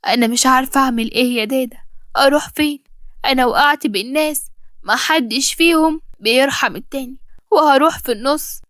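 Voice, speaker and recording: A woman's voice: sits high, quick pace, a bit bright, no echo, studio quality. A woman voicing a wronged young woman, emotional and pleading, defiant through her hurt, in a drama. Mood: despairing.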